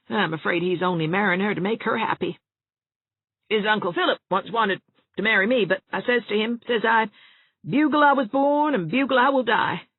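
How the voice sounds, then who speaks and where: A woman's voice: on the high side, gravelly, breathy edge, dry recording, pitched above the performer's own voice, exaggerated voice. A woman voicing a gloomy, fretful elderly spinster who frets and forever fears the worst. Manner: mournful, fussy, forever fearing the worst. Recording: tinny phone-like sound.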